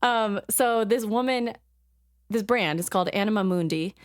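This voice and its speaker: A woman co-hosting a podcast in casual chat, halting and full of ums as she looks things up. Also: medium pitch, no room sound, studio quality. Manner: chatty, halting, many ums while searching notes. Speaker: podcast co-host.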